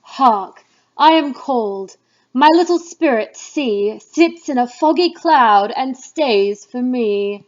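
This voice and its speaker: Woman performing an imperious, wrathful witch goddess, slow and declamatory. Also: sits high, expressive. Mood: summoning. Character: wrathful commanding witch goddess scolding her underlings. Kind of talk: dramatic Shakespeare reading. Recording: dull, muffled lo-fi recording.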